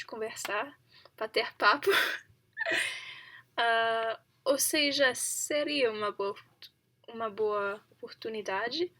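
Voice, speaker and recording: Slow, hesitant young woman practicing languages. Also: on the high side, pushed and bright. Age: 20s. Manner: hesitant, halting, laughs often; self-correcting, searching for words. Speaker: language learner vlogger. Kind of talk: casual practice monologue to camera.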